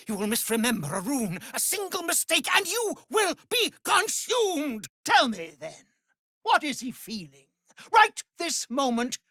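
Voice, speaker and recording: Cruel vampire master for a video game: imperious, wildly swooping pitch, very slow and savoring. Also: male voice, vocal fry, bright, forceful tone, no echo, studio quality, heavily acted. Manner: pompous, imperious, sneering. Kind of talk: theatrical video game villain acting.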